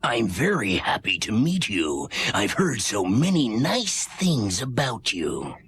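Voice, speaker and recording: A sly storybook wolf with a very husky, gravelly growl. He sweet-talks with false politeness, unhurried and swinging in pitch, recorded close and dry. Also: huge pitch swings, fairly bright tone, no echo, full character voice. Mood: smooth flattery. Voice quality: raspy, gravelly. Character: sly big bad wolf, sweet-talking villain. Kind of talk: children's musical storybook acting.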